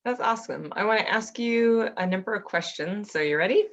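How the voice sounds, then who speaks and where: A woman's voice: medium pitch, a little fast, fairly bright tone, dull audio. A woman interviewing a guest over a video call, asking questions in a casual, friendly and direct way.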